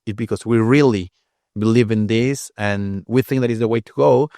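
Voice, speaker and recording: A man's voice: fairly low pitch, fairly even intonation, moderate pace, mellow, no echo. Hesitant male podcast host, dry sound. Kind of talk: casual podcast interview.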